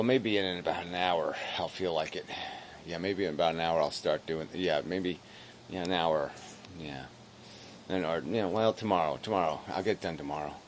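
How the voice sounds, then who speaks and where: Low-voiced weary man rambling. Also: a little hiss or hum. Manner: weary, low-energy, self-deprecating. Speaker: video blogger. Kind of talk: rambling casual monologue to camera.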